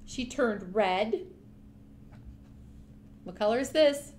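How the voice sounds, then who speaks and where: A woman's voice: a little high, expressive, slow pace, a little mellow. A woman storyteller reading a picture book aloud expressively, speaking directly to young children.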